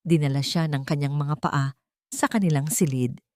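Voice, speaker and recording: A woman narrating a romance drama story in a measured, dramatic storytelling manner. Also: deep voice, fairly even intonation, darkish tone, dry recording, clean studio sound.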